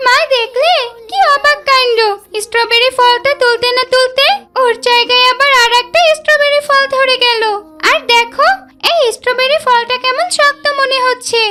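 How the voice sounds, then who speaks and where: A woman voicing an excitable, wide-eyed little girl in a children's cartoon. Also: very high voice, moderate pace. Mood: amazed. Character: excitable, pious little village girl Maisha.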